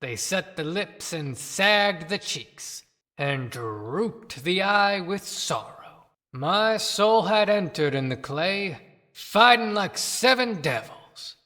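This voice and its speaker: A woman's voice: fairly low pitch, lively intonation, a bit bright. Woman playing a bitter dead man, long reverb. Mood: anguished, haunted. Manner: dramatic, declamatory, deliberate. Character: bitter haunted dead man speaking from the grave. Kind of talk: theatrical poetic monologue, audio play.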